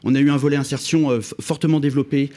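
A middle-aged man speaks into a studio microphone as a guest on a radio panel. He talks in a halting way, stopping and repeating small words before he finishes each thought. His voice sits at an ordinary pitch for a man. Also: fairly even intonation, mellow. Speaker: housing project manager. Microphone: studio mic on stand.

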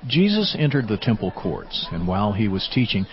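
A man's voice: fairly low pitch, big pitch swings, a little fast. A man narrating scripture as the narrator of a dramatized audiobook. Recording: slightly dull, faintly noisy sound.

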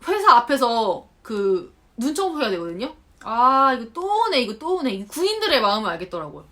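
Lively chatty Korean woman vlogger. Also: on the high side, fairly quick. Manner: chatty, animated, acts out quoted remarks. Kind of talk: unscripted talk to camera. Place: home in Japan.

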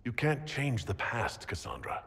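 A man's voice: medium pitch, big pitch swings, a little slow, creaky, fairly bright tone, breathy edge, heavily acted. A man playing a commanding, level-headed leader in a video game, authoritative and reasoning. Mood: calm, consoling. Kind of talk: dramatic video game acting. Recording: long hall reverb.

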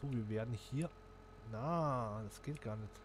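A man's voice: mid-range voice, a little slow, a little hiss or hum. A man, chatting casually to viewers and thinking aloud as he plays a simulation game. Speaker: gaming channel host. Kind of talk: casual gameplay commentary.

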